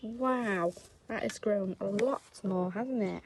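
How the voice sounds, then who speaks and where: A woman's voice: medium pitch, slow pace, darkish tone, a little hiss or hum. A woman speaking to young children as a teacher and story reader, explaining simply, with questions and emphasis. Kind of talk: speaking to young children, reading a picture book.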